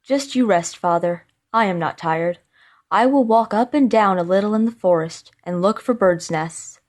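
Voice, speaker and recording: A woman's voice: medium pitch, moderate pace, natural voice. Storybook role: dutiful, fearless young man, read by a woman, confident, light and unruffled. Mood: reassuring. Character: young male scholar, cheerful, fearless, dutiful son. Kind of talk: storybook character voice.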